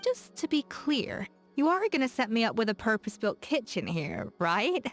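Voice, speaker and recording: A woman's voice: a little high, moderate pace. An actress playing a confident, playful young woman chef in a game, self-assured and curious, with faint music behind her. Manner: self-assured, teasing, lively. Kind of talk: game character voice lines.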